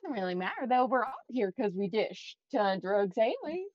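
A woman's voice: on the high side, very animated, quick pace, a little mellow, no room sound, highs cut off. A woman playing a frantic, falsely cheerful ragdoll in a cartoon fandub, rambling in a nervously breezy, theatrical way.

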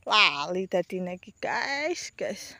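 A woman's voice: medium pitch, big pitch swings, slow pace, bright, forceful tone, no room sound. Chatty Javanese woman vlogging by rice fields. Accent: Javanese ngapak. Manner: chatty, addresses viewers as guys, rambling. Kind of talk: casual vlog to camera. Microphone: phone at arm's length.

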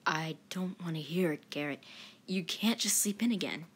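A woman voicing an affectionate, patient young athlete boyfriend, soft and coaxing. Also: on the low side, moderate pace, breathy edge. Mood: soft, exasperated. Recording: slightly noisy home recording.

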